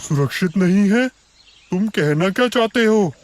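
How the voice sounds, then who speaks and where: A man's voice: high-pitched, expressive, moderate pace, a little mellow, a little hiss or hum. A man voicing an earnest, easily scared bear in a cartoon forest story. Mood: startled.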